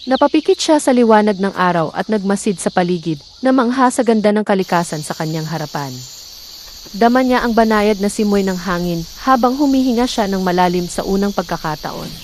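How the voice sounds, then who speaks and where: Woman telling a folktale, dramatic n deliberate, mid pitch, noisy nature sounds behind her. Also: expressive, darkish tone. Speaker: storyteller. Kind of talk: scripted dramatic folktale narration. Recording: clear background noise, nature sound effects.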